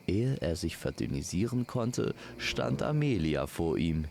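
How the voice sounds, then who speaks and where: A man's voice: deep voice, sing-song, measured pace, creaky, a little mellow, slight background noise. A man narrating a scripted fairy-tale audio story as its storyteller.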